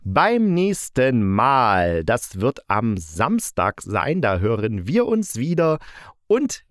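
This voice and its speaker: A man's voice: mid-range voice, huge pitch swings, takes it slowly, no echo, clean studio sound. A man hosting a podcast, reviewing in a casual, unscripted, enthusiastic and colloquial way and often correcting himself. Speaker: podcast host. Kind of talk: casual spoken audio drama review, unscripted.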